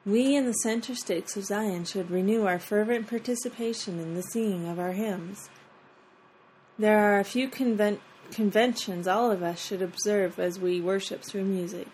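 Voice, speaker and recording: Woman, mid-pitched; friendly casual reader, giggles easily; faint noise behind. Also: normal speed, darkish tone, rough recording. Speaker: podcast co-host. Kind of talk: casual chat and reading aloud.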